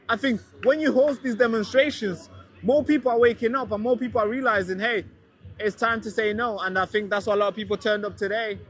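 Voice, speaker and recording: High-voiced man from Manchester, rambling. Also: a bit bright. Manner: earnest, rambling. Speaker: protester being interviewed. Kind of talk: street interview answers.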